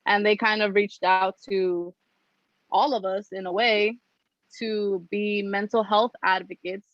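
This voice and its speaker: A woman's voice: mid-range voice, level tone, unhurried, dry recording, muffled recording. A young woman from New Jersey hosting a casual podcast chat, talking in a slangy, upbeat, joking way. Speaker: podcast host.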